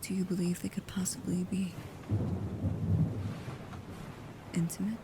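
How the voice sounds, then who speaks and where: A woman playing a devoted, anxious, shyly affectionate vampire bodyguard in an intimate ASMR roleplay, speaking softly and haltingly, often near a whisper. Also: fairly low pitch, level tone, lightly acted. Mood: shy, hopeful. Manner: hesitant, halting, soft-spoken, often dropping to a whisper. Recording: clearly audible background ambience noise.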